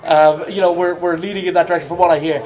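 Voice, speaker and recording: A man's voice: fairly high pitch, steady tone, quick pace, a little mellow. A man from Ireland interviews a guest in a chatty way and laughs easily. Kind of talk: casual sports interview. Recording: noisy crowd behind. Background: crowd chatter.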